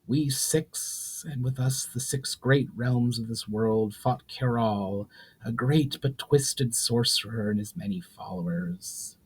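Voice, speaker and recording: An ancient sorceress of a fantasy storybook, played by a man, speaks with solemn, pained weariness. The delivery is slow and grave, as if weighed down by long grief. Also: medium pitch, husky, poor audio. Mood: sorrowful, solemn. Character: ancient grieving burning sorceress, solemn and weary.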